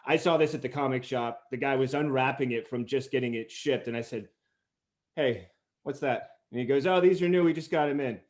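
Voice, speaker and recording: Male host, mid-pitched voice. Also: fairly quick, a little mellow. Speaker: interview host. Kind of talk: conversational interviewing. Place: remote video call.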